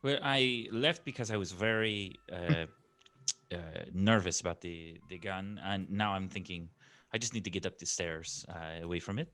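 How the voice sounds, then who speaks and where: A man with a low voice describes his moves in a tabletop roleplaying game. His delivery is halting and improvised. The recording is close and dry, with little room sound. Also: a bit bright, clean tone, no room sound.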